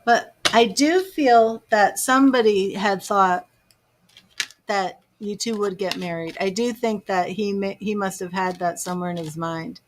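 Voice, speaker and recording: A woman's voice: medium pitch, unhurried. A woman giving live tarot readings, chatting to viewers by name and musing over the cards. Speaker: tarot reader.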